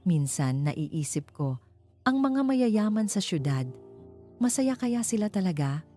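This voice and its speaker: A Filipino woman voicing a gentle, caring young village schoolteacher in a love story, speaking softly and tenderly. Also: medium pitch, big pitch swings, slow pace, faint music behind, voice pushed up. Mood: wistful. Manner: soft, tender speech.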